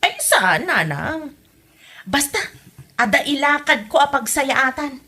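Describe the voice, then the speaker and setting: A woman playing a feisty, evasive village wife who is quick to retort, in an Ilocano comedy drama podcast with an Ilocano accent. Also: high voice, very animated, heavily acted. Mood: evasive, reassuring.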